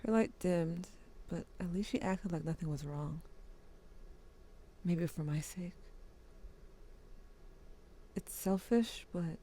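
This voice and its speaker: A woman's voice: fairly low pitch, mellow. A woman voicing a grieving teenage boy who writes to his dead brother, wry and bitter, with a subdued tone. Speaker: narrator. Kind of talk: audio drama monologue.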